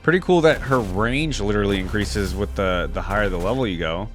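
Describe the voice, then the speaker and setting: A man's voice: fairly low pitch, fairly quick, faint music behind. A man doing casual, chatty reaction commentary, reading aloud and commenting informally to his viewers.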